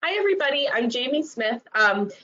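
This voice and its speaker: A woman with a slight cold and a congested voice, leading a software training webinar in a friendly way with lots of fillers. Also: high voice, very animated, fairly quick. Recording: dull lo-fi web audio.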